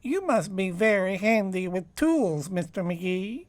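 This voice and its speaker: A prissy, meek little man in a vintage radio comedy. He speaks slowly and hesitantly in a high, swooping voice, close and dry. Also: sing-song, dry recording. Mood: meek, pleased. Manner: hesitant, fussy, genteel. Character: meek, prissy, mild-mannered little man, bird-watching neighbor. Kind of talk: old-time radio comedy acting.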